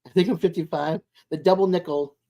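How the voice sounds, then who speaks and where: A woman in her sixties chatting casually as a live stream host, in a rambling, chatty way with frequent pauses. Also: fairly low pitch, steady tone, warm tone. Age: 60s. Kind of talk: casual chat with live viewers.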